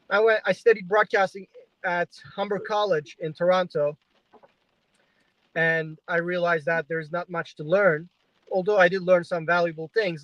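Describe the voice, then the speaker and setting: A man's voice: high-pitched, fairly bright tone, no echo, low-quality recording. A man in his twenties who grew up in Turkey, telling his story as a livestream guest in a chatty way with frequent fillers. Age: 20s. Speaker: guest journalist. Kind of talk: livestream interview. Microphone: video call.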